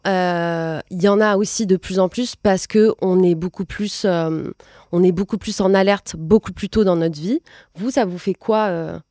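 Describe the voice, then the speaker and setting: Low-pitched woman hosting a talk. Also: dark tone, no echo, studio quality. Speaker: podcast host. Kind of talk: conversational interviewing at a talk event.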